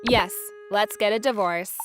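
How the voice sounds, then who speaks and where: A woman's voice: mid-range voice, measured pace, close to an everyday voice. A woman playing a weary, resolute young wife, narrating her divorce in first person for a manga dub. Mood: firm. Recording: music bed under the voice.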